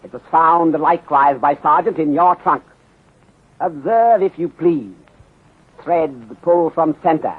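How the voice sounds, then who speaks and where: A calm detective inspector with a put-on Chinese accent, speaking in measured, formal, clipped sentences. His husky voice comes through a narrow, phone-like 1930s radio recording. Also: on the high side. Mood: calm, accusing.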